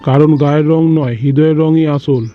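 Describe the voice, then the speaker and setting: A man's voice: on the high side, fairly even intonation, fairly quick, lightly acted. A man voicing an elderly, moralizing father-in-law in a cartoon moral story. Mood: solemn. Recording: dull lo-fi audio, faint music behind.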